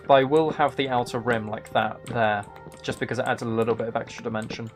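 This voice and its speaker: A man's voice: mid-range voice, fairly even intonation, fast, soft background music. A man chatting casually on a live game stream, musing and thinking aloud as he plays. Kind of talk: casual live stream chat while playing.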